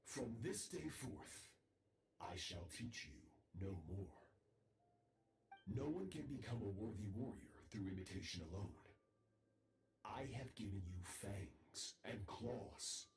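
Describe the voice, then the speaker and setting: A man voicing a cold, commanding dark warrior master in a video game, solemn and grandiose, with a gravelly voice. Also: on the low side, animated, takes it slowly, a little hiss or hum. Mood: cold, menacing. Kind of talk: dramatic video game acting. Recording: roomy echo.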